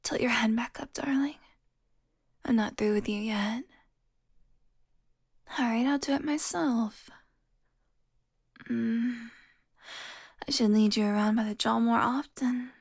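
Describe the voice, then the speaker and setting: A woman playing a seductive, teasing vampire who is smugly tender toward her prey, in an intimate audio roleplay with breathy, slow murmuring. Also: on the high side, steady tone, exaggerated voice. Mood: sultry teasing. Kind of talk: intimate audio roleplay, addressed to one listener.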